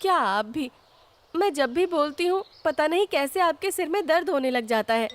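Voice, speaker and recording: A woman's voice: high-pitched, lively intonation, normal speed, voice pushed up. A woman voicing a chatty, teasing, hospitable village housewife in a children's cartoon story, exclamatory and full of questions. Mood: needling. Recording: music behind the voice.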